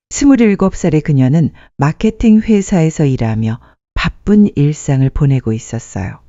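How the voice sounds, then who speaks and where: Mellow, expressive female storyteller. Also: low voice, no echo, muffled recording. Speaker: narrator of a story channel. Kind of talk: scripted sentimental storytelling narration.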